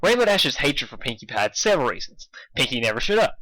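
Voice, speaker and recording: A man's voice: medium pitch, very animated, fast talker, bright, forceful tone, no room sound, poor audio. A man reading fan fiction aloud as informal storybook narration, sometimes stumbling and rereading a phrase.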